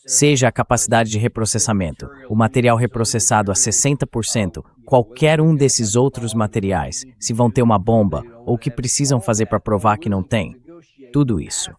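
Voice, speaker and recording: Measured man giving political commentary. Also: fairly low pitch, darkish tone. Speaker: commentary show host. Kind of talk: translated voice-over political commentary.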